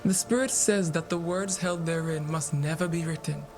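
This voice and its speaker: Man acting grave mystic seer in a fantasy game, high voice, measured cryptic talk, music under it. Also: fairly even intonation, darkish tone, lightly acted. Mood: solemn. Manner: solemn, measured, oracular phrasing. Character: solemn Qunari seer, vessel for spirits, cryptic and grave. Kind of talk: video game dialogue.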